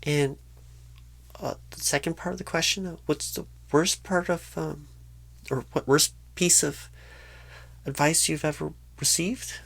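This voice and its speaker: A woman playing a nervous, inexperienced job recruiter in an ASMR roleplay, halting, stammering and apologetic. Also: low-pitched, steady tone, gravelly, background noise. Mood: apologetic, flustered. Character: painfully shy, nervous, inexperienced job recruiter on first day. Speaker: job interviewer. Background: computer keyboard typing.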